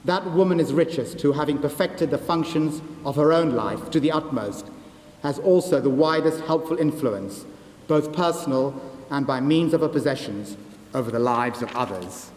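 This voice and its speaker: Gujarati-native guy doing a formal graduation speech, measured and oratorical, big echoey hall w long reverb. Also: a little high, darkish tone. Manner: measured, oratorical delivery in lists and parallel phrases. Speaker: senior university academic giving a graduation address. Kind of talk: formal ceremonial speech, scripted. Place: large ceremonial university hall.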